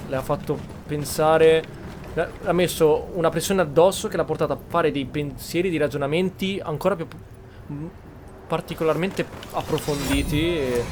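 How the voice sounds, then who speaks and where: A man's voice: on the high side, a little mellow. A man from Italy giving casual gameplay commentary, musing and searching for his words. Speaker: game streamer. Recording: faint background noise.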